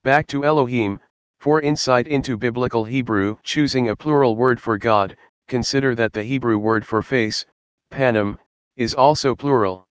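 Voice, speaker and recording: Man, Bible study narration, measured and earnest, close dry recording. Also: mid-range voice, smooth, no echo, lo-fi sound. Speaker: Bible teacher. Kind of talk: scripted Bible study reading.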